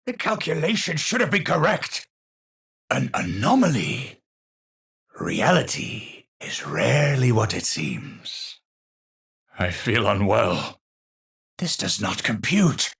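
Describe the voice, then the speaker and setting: An eccentric male astrophysicist from a video game, with a Dutch accent. His voice is slightly rough and breathy and moves at a slow, measured pace. The pitch ranges enormously, giving his learned, theatrical phrasing a grandiose, unstable edge. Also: big pitch swings, a bit bright, full character voice. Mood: brooding, perplexed. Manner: theatrical, professorial, slow and deliberate wording.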